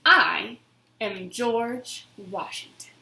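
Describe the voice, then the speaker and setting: A woman giving an expressive, theatrical dramatic reading of a children's book. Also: fairly high pitch, extremely slow, gravelly. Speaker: storybook reader. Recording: roomy, echoing room sound.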